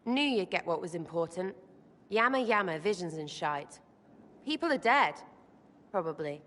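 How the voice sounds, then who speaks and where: A low-voiced, cheeky rogue. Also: female voice, lively intonation, moderate pace, a bit bright. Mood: blunt, uneasy. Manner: slangy, cheeky, clipped phrasing. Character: scrappy young female rogue, irreverent, blunt. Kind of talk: video game voice acting. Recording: long hall reverb.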